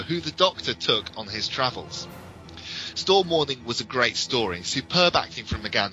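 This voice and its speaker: A man's voice: mid-range voice, sharp tone, low-quality recording. A man co-hosting a podcast, giving detailed, bookish and opinionated reviews in an informal but partly prepared style. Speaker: podcast co-host. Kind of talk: informal review talk with prepared history segments.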